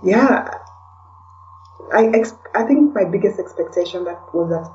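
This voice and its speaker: A woman from Kenya speaking as a podcast guest in a casual, reflective way, often pausing to search for words. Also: mid-range voice, animated, a little mellow, slight background noise. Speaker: interview guest, blogger and podcaster. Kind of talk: casual conversational interview. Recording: distant, dull lo-fi call sound.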